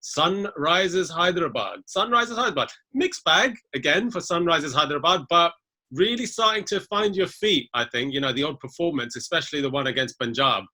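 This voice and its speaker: A man's voice: fairly high pitch, animated, brisk, pushed and bright. A man with an English accent hosting a casual podcast, talking in a chatty way with a lot of "you know" fillers. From England. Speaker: podcast host.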